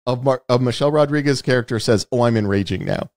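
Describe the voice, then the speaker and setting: A man's voice: medium pitch, fast, darkish tone, no echo, studio quality. A man hosting a podcast, talking through a film in an emphatic, explanatory way and often checking in with "right?". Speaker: podcast host. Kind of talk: conversational film analysis.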